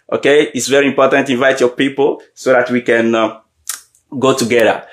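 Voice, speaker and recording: A man giving a motivational leadership talk, often pausing to check in with his audience and repeating key questions. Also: fairly high pitch. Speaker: leadership coach. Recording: roomy echo.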